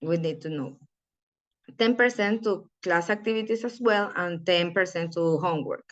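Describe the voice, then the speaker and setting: Low-voiced woman presenting, dull recording. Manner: halting, restarts words. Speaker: conference presenter. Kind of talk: academic presentation.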